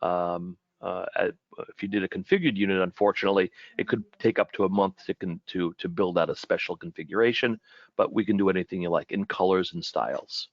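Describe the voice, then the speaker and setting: A man giving an informal webinar product presentation in a halting way, often repeating and rephrasing his words. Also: low-pitched, no echo, highs cut off.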